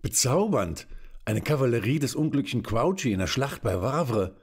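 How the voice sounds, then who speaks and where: An actor playing an effeminate, war-obsessed Prussian count with an affected, aristocratic manner in an audio drama. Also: male voice, mid-range voice, sing-song, moderate pace, breathy edge. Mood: delighted. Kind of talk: German audio drama acting.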